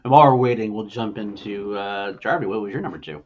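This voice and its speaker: A man's voice: on the low side, fast, a little mellow, dull audio, a little hiss or hum. A middle-aged man hosting a casual movie discussion, rambling along with enthusiasm. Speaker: podcast host.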